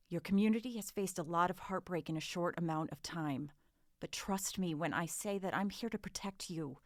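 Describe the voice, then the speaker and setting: A woman's voice: medium pitch, a bit bright, no room sound, natural voice. Woman as a firm, blunt police detective, dry sound. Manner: assertive, blunt. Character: firm, frank female police detective from the mainland. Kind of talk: audio drama acting.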